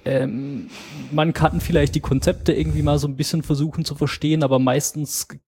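A man's voice: a little high, flat delivery, fairly quick, a little mellow, husky. A man chatting casually as a podcast co-host, hesitant and full of fillers. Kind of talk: casual tech chat.

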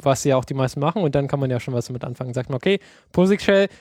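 A man's voice: mid-range voice, animated, racing, a little mellow, no room sound, studio quality. A man in casual podcast chat who rants bluntly and swears freely. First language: German.

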